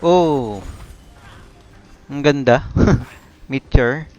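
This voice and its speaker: A man's voice: mid-range voice, very slow, darkish tone. A Filipino man giving casual live gameplay commentary, muttering short remarks to himself. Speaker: game streamer. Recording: band-limited mic, game music behind.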